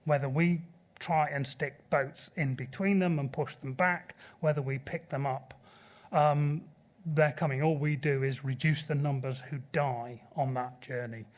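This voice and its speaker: A middle-aged man speaks at a panel table in a large auditorium. His voice sits a little high, and he is earnest and measured. The desk microphone keeps him close and clear. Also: steady tone. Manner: earnest and measured, pressing a point. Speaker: panelist from a sea rescue group. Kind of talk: panel debate answer.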